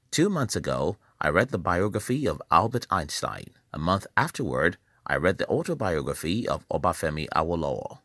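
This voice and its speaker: A man from Nigeria, reading a scripted motivational voice-over in a measured, emphatic, preacherly way. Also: low voice, a bit bright, breathy edge, no echo, clean studio sound. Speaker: channel host.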